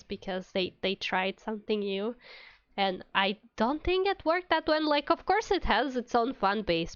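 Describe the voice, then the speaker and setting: A woman game streamer with a smooth, fairly high voice, thinking out loud in casual, chatty asides. The sound is close and dry, slightly dulled. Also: no echo, muffled recording. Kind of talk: casual livestream chat over a game.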